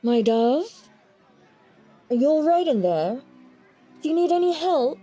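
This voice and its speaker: A woman playing a possessive, lovestruck stage magician in a roleplay audio drama, theatrical and doting, often breathy or whispering. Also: high-pitched, big pitch swings, dark tone. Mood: teasing, impatient. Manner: theatrical, doting, drawn-out hypnotic cooing. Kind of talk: roleplay audio drama, intimate second-person monologue.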